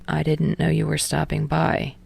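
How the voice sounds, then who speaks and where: Woman voicing a dry, low, reserved young man. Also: completely flat delivery, normal speed, creaky, darkish tone, slightly rough, no echo, full character voice. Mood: puzzled, grateful. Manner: understated, dryly amused. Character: dry, reserved, prickly young male bookshop worker. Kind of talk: audiobook character voice.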